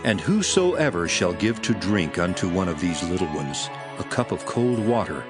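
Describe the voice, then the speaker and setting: A man playing a solemn, authoritative male religious teacher in a dramatized scripture reading, with measured, emphatic delivery. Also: low-pitched, big pitch swings. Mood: solemn assurance. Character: Jesus, solemn authoritative male teacher addressing his disciples. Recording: music behind the voice. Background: background music.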